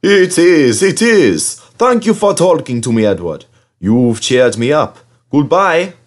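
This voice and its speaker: Man as kindly old steam engine, animated. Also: on the high side, slow, voice pushed up. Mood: grateful.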